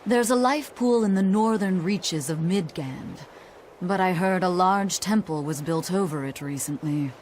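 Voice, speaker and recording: A woman playing a calm, knowing scholar in a fantasy video game, explaining things in a measured way. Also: on the low side, background noise, lightly acted. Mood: calm, explanatory.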